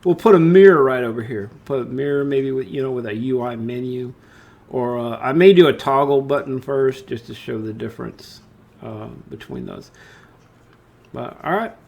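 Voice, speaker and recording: A man giving an informal screen-share software tutorial, talking through his steps and thinking aloud as he works. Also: mid-range voice, moderate pace, creaky, highs cut off. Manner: casual, thinking aloud, addresses viewers as guys. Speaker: tutorial host.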